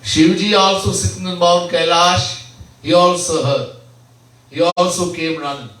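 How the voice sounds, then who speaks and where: A man's voice: high-pitched, steady tone, fairly bright tone. Man preaching in a very echoey room. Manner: slow, repetitive phrasing with Hindi terms. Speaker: swami giving a discourse. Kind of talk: devotional sermon. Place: home gathering. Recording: very echoey room, mic far off.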